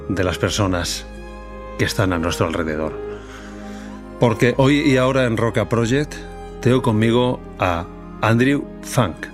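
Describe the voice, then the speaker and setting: A middle-aged man hosting a podcast, speaking in a slow, deliberate, reflective way. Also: on the low side. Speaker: podcast host. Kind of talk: reflective monologue and interview.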